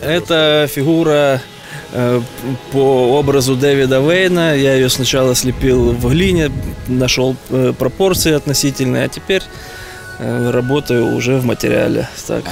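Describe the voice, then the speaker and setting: Ukrainian-native guy talking calmly outdoors, gravelly voice, music behind. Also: medium pitch, moderate pace. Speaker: carver. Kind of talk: interview. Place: outdoors at a woodcarving site.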